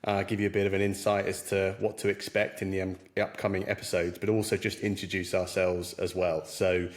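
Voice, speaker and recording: A man in his forties leads a relaxed podcast. His voice is slightly low. He hands over to his co-hosts with easy teasing and a lot of "um" and "uh". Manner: hosting, teasing, filler-heavy. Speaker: podcast host. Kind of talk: casual chat.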